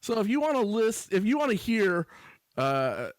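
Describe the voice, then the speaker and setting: A man co-hosting a podcast in casual banter, with a dry, deliberate, playful delivery. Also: high-pitched, sing-song, no room sound. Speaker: podcast co-host guest.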